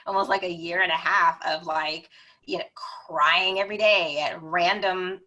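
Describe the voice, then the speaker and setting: A middle-aged woman, a podcast guest, telling her personal story in a chatty, expressive way, acting out her own reactions. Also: fairly low pitch, a little slow, low-quality recording.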